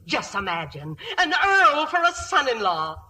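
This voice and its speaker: A woman's voice: a little high, sing-song, a little slow, bright, forceful tone, exaggerated voice. An actress playing a brash, folksy, scheming mother, blunt and slangy, in old-time radio comedy style. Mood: excited, scheming. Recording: old radio recording, faint noise.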